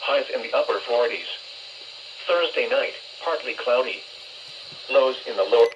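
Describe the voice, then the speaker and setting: A man's voice: mid-range voice, highs cut off. A synthetic male voice reading a formal, impersonal weather forecast through a weather radio speaker. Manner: measured, impersonal bulletin reading. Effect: played through a weather radio speaker. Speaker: automated weather radio announcer. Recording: noisy small weather radio speaker, room noise.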